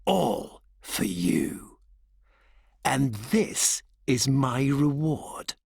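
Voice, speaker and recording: Game villain: cold, possessive, grandiose; very slow, dramatic delivery with huge swings and a gravelly edge. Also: male voice, mid-range voice, big pitch swings, a little mellow, no echo, exaggerated voice. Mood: wounded, bitter. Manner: theatrical, menacing, drawn-out phrasing that slips into breathy whispers. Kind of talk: dramatic video game voice acting.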